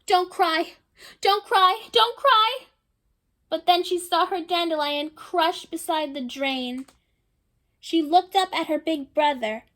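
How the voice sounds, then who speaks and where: A woman reading a picture book aloud to children, stopping to chat and ask listeners questions, and often repeating herself. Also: high voice. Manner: chatty, warm asides to young listeners, often repeating herself.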